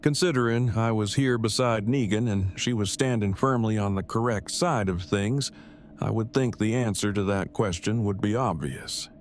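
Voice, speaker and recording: A man's voice: fairly low pitch, moderate pace, a little hiss or hum, natural voice. A man playing a wordy, self-deprecating, heartbroken nerd who speaks in stilted formal phrases in an audio drama. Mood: resigned, self-deprecating.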